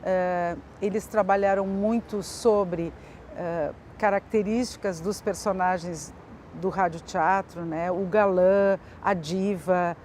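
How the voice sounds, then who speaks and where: A woman's voice: fairly low pitch, fairly even intonation, takes it slowly, a little mellow, a little hiss or hum. A woman explaining informally in an interview.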